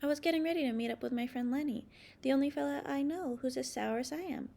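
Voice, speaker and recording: A woman narrates a children's story aloud. Her voice sits a bit high and often crackles into creak. She reads expressively, leaning on key words with dramatic emphasis. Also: darkish tone. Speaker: storybook reader.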